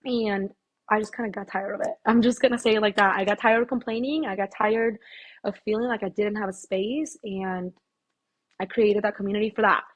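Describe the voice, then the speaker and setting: A woman's voice: mid-range voice, steady tone, a little fast. A woman from Mexico, a guest on a podcast, talking casually and emphatically about her life, with frequent fillers. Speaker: podcast guest, fitness apparel founder. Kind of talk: casual interview talk.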